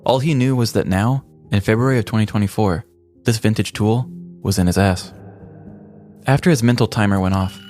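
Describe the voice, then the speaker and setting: Deep-voiced man narrating with mock seriousness. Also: normal speed, a little mellow. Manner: dramatic, ornate, mock-serious delivery. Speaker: podcast host. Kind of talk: scripted comedic story narration. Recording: music bed behind the voice. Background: background music.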